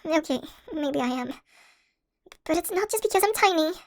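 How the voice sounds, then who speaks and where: A woman's voice: sits high, expressive, normal speed. A woman playing a tiny shrunken young college student, playful and affectionate, in a scripted audio roleplay. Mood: playful, teasing.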